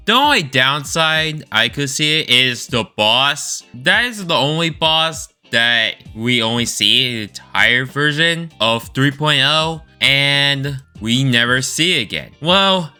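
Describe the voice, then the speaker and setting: Bright, animated man giving enthusiastic commentary. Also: mid-range voice, clean tone. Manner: enthusiastic, expressive, drawn-out emphasis on words. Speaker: video creator ranking game stories. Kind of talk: informal opinion commentary with excited exclamations. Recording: voice over background music.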